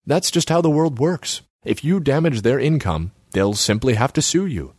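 Man playing a pompous politician, animated delivery. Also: mid-range voice, moderate pace, no echo, studio quality, pitched above the performer's own voice, close to an everyday voice. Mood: lecturing, airy. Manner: pompous, wordy. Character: pompous, airy male politician and lawyer, self-righteous.

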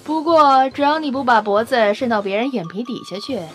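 A woman playing a confident, teasing scientist in an audio drama, brisk and matter-of-fact with a playful, self-satisfied manner. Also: on the high side, animated, a bit bright, soft background music. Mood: casual, appraising. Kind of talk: Chinese audio drama acting.

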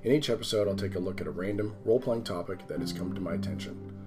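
A man's voice: sits low, quick pace, music in the background. A man hosting his own podcast, talking loosely and rambling a bit, stuffy from a cold. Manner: loose, rambling, self-correcting. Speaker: podcast host. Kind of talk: casual podcast talk.

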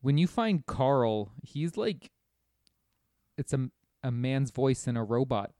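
A man's voice: mid-range voice, big pitch swings, slow, warm tone, no echo, clean studio sound. A man in casual podcast conversation, recounting things in detail as he talks about a video game. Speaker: podcast guest or host discussing a video game. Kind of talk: casual chat.

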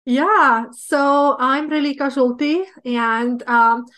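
Estonian lady in her 30s, business coach chatting on a podcast, pretty high voice. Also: drawn-out words. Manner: storytelling, relaxed with light laughs. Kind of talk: casual podcast interview.

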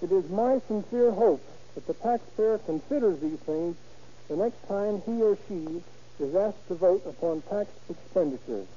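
A man's voice: high-pitched, expressive, measured pace, mellow. An American man, a deputy sheriff, giving a stiff, earnest prepared address on 1930s radio. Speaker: deputy sheriff, guest speaker. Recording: muffled, faintly noisy 1930s radio recording.

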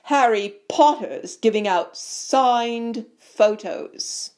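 A smug schoolboy bully acted out by a woman reader, scathing and loud. He drawls his contempt with a sneer, every bit the storybook villain. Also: on the low side, slow. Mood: loud mockery.

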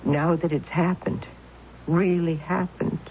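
A concerned aunt confiding in an old radio drama. She speaks slowly and gently in a mid-pitched voice, through a thin, phone-like recording. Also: fairly even intonation, slight background noise.

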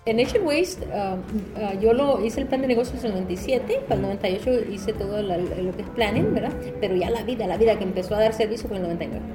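A woman's voice: medium pitch, animated, quick pace, music in the background. A middle-aged woman from El Salvador with a Salvadoran accent, a business owner answering interview questions in a loose, rambling way with many restarts.

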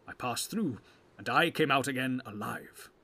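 A man's voice: medium pitch, lively intonation, normal speed, bright, forceful tone. A man playing a grave, commanding old wizard in a fantasy audiobook, speaking in weighty, archaic, oratorical phrases. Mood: resolute.

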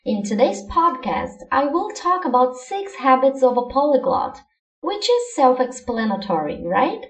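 A Brazilian woman whose first language is Portuguese, hosting her own podcast and giving clear, encouraging language-learning advice from a script. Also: high voice, very animated, unhurried, roomy sound, distant mic, faint music behind. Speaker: podcast host, language coach. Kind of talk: scripted solo podcast lesson.

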